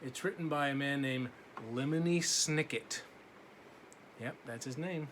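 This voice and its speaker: Male preschool teacher, read-aloud: bright, slow delivery with simple asides, some background noise. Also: on the high side. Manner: simple asides to young listeners. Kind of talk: picture-book read-aloud for young children.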